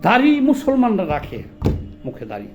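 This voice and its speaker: High-pitched, animated Bangladeshi man arguing. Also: normal speed. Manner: indignant, argumentative, colloquial. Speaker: social commentator. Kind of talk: informal video commentary, rhetorical questioning.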